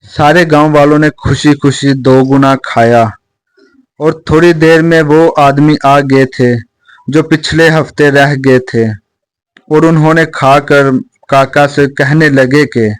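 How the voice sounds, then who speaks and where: A man's voice: a little high, fairly even intonation, slow, smooth, dry recording, faint music behind, rough recording. A man narrating a scripted children's story as a close, dry voice-over.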